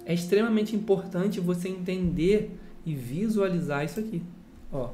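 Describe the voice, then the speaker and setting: A man's voice: sits high, normal speed. A man from Brazil teaching guitar in a casual lesson, explaining with rhetorical questions and a lot of "look here" asides. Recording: roomy sound with guitar playing.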